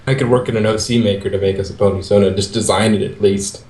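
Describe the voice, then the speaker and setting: A man's voice: on the low side, fairly even intonation, quick pace, a little mellow. Man chatting in an echoey room. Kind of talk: casual chat. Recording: roomy echo.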